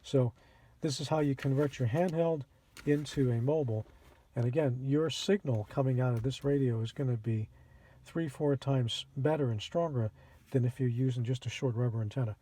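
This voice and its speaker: A man hosting a casual how-to demonstration, explaining things plainly and step by step with a husky voice. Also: medium pitch, normal speed, warm tone, a little hiss or hum. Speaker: host of a radio how-to channel. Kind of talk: casual instructional product demonstration.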